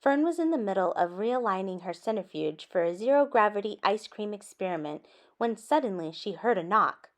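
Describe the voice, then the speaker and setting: A woman reading a children's story aloud in an expressive way, with animated asides addressed to young listeners. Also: mid-range voice, moderate pace. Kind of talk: expressive picture-book read-aloud.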